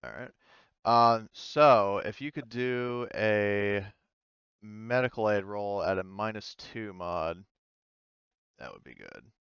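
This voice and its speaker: A man running a tabletop game as game master, narrating in a halting, hesitant way over a voice call. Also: lowish voice, expressive, a bit bright, smooth, dry recording, muffled recording. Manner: halting, hesitant, pieces sentences together gradually. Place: online voice call.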